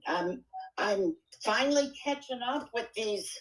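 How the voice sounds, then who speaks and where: Casual: woman guest talkin slow and earnest, stops and restarts a lot. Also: fairly high pitch, poor audio. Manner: earnest, halting, repeats words. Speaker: guest speaker on a live audio room. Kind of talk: casual chat.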